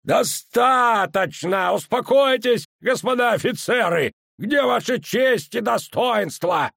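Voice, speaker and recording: Guy doing a bossy imperial commander barking orders way up high, super dry sound. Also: lively intonation, slow, vocal fry, no room sound, exaggerated voice. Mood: commanding shout. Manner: shouting commands, hammering out each phrase. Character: bellowing imperial male commander, stern and overbearing.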